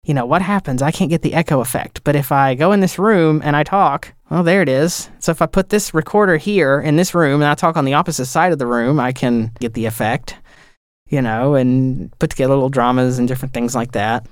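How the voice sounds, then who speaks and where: A man's voice: mid-range voice, fairly quick, smooth, no room sound, clean studio sound. A man, an audio engineer, telling stories in a casual podcast conversation with rambling enthusiasm.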